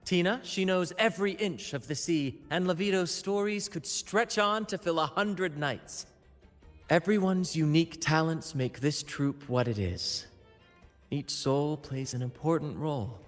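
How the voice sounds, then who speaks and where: A man's voice: on the high side, a little slow, close to an everyday voice. An actor playing a flamboyant, theatrical young male ship captain in a video game. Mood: grand. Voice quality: showy, theatrical. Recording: long hall reverb, faint music.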